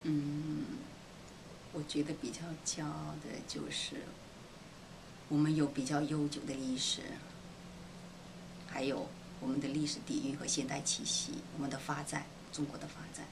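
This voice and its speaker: A woman from China, answering an interview question in a reflective, measured way. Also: low-pitched, little pitch movement, vocal fry. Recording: background noise.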